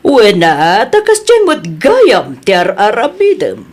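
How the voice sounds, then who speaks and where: A Filipino woman playing a patient but firm boss in an audio drama, measured, often humming thoughtfully before she answers. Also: medium pitch, wildly swooping pitch, full character voice. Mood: stern, reproving. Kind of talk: acted audio comedy-drama dialogue.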